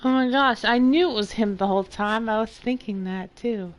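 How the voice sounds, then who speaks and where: A woman's voice: a little high, normal speed. Casual woman streamer over faint music. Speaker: video game streamer. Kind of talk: casual reaction commentary over cutscenes.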